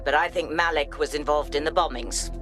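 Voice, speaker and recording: An actress playing a ruthless, commanding British intelligence director in a video game, blunt and sharp-tongued. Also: female voice, deep voice, fairly even intonation, fairly quick, close to an everyday voice. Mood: calculating. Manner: profane, blunt, authoritative. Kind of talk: video game cutscene acting. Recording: loud music under the voice.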